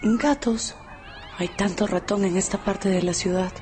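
A woman's voice: medium pitch, fairly even intonation, measured pace, noisy background, natural voice. An actress playing a mysterious, reserved young woman who speaks formally and with restraint in a radio drama. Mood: reserved, courteous.

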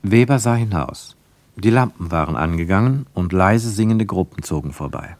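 Lowish-voiced man narrating, dry studio. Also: expressive, moderate pace, a little mellow, no room sound. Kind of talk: scripted literary narration for radio play.

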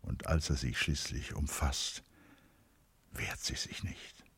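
An actor as a grave, brooding narrator of a literary radio drama, with a husky, breathy voice. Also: male voice, rumbling low, steady tone, slow pace, a bit bright, no echo, heavily acted. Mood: brooding, hushed.

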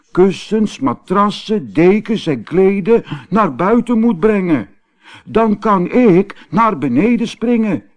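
A man voicing a greedy, boastful, bossy male tortoise in a storybook, with emphatic, drawn-out pleading. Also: high-pitched, voice pushed up. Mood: panicked, bossy. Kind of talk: storybook character voice.

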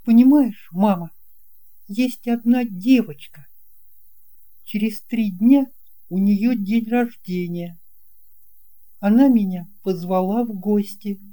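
Lady doing shy little schoolboy for a storybook, kinda hesitant n thoughtful, pitched up. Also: slow pace, long pauses, dark tone, lightly acted. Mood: hesitant, earnest. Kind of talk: audiobook character voice.

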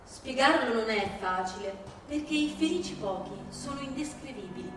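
Female stage reader, high voice, theatrical delivery, very reverberant, music behind. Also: expressive, normal speed. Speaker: stage performer. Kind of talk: theatrical literary reading with music. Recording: very echoey distant hall recording with music.

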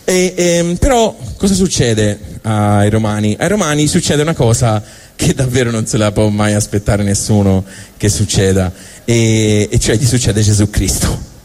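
A man's voice: fairly low pitch, darkish tone. Rambling Tuscan comedian, a man mid-monologue. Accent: Tuscan Italian. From Tuscany, Italy. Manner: rambling, halting, colloquial, self-interrupting. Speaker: stand-up monologist. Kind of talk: live comic storytelling monologue.

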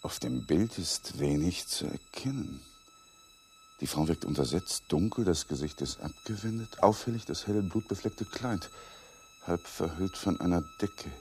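A weary young lawyer in a radio drama, brooding and terse. His pitch swings very widely from line to line, and there is faint noise behind him. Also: wildly swooping pitch, a little slow, a little mellow. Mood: pensive, hushed. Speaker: actor in the leading role. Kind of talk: radio play acting with inner monologue.

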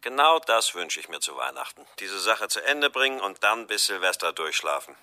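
Weary middle-aged detective; lowish, bright tone, brisk and factual. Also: male voice, big pitch swings, exaggerated voice.

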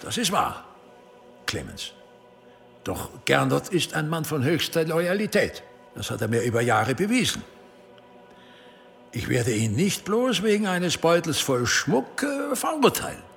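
Solemn archbishop judge, creaky voice with sweeping intonation, commanding, radio play. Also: male voice, fairly high pitch, big pitch swings, unhurried, pauses often, fairly bright tone, soft background music, exaggerated voice. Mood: stern, troubled. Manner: grave, weighty, commanding. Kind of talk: historical radio drama acting.

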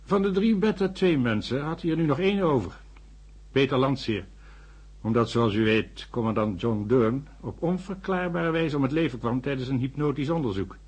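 Male doctor narrator, slightly husky, thoughtful, animated intonation, science fiction radio play. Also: medium pitch, normal speed. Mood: earnest recounting. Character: male doctor narrating, earnest, sceptical but sympathetic. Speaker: narrator and character.

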